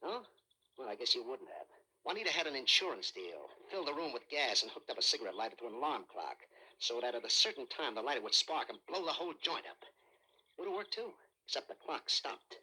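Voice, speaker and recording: An actor playing a cynical, cocky private detective in a vintage radio suspense drama, slangy and needling. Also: male voice, medium pitch, sing-song, fast talker, pushed and bright, slightly rough, heavily acted, poor audio. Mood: indignant boasting. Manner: slangy, tough-talking, needling.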